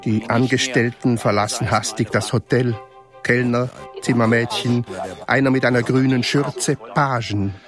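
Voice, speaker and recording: Guy reading stage directions, calm, music in the back. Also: mid-range voice, unhurried. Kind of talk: scripted narration.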